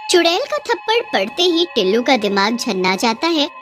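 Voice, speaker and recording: A woman narrates a scripted story in a fairly high voice. She works as the storyteller, with light music playing behind her. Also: big pitch swings, fairly bright tone.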